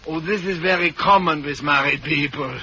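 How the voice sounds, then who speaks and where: A zany, pompous psychiatrist, played by a man with a voice that sits fairly high, from a dull, noisy old radio broadcast. He talks in a jokey, drawn-out, mock-serious manner, as in 1940s radio comedy. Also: lightly acted. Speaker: comic psychiatrist.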